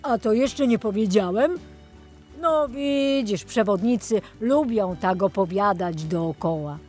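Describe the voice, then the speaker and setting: A woman's voice: medium pitch, expressive, slow pace, a little mellow, faint music behind. A woman voicing a jolly, absent-minded older male tour guide in a children's storybook, rambling and enthusiastic. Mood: chatty, proud.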